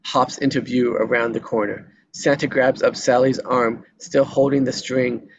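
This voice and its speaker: A man's voice: medium pitch, steady tone, normal speed, muffled recording. A man reading scripted action descriptions as narrator at a screenplay table read.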